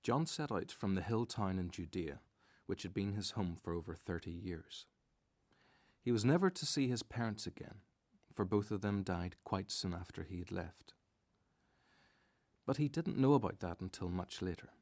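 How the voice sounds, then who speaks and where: A man plays the narrator of a biblical radio story. His voice is deep and close, with little room sound. He recounts events calmly, in measured, scripted sentences. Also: no echo. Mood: measured, reflective. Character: calm storyteller recalling his friend, a prophet.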